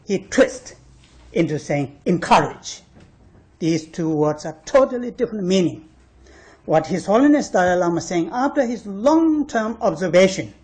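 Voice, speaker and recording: A man who is not a native English speaker, arguing his case on a panel in an emphatic, halting way, repeating words for stress. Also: high voice, mellow. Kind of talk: argumentative panel talk. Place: university panel discussion room.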